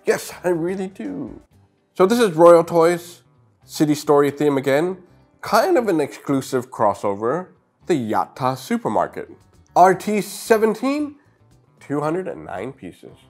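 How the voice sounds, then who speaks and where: A man's voice: fairly high pitch, sing-song, measured pace, soft background music. A middle-aged man with a slightly sniffly nose gives a casual, upbeat toy review, speaking straight to his viewers on a clip-on mic. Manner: chatty, upbeat, talks to viewers directly. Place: indoor room lined with shelves of brick sets. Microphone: clip-on lapel mic.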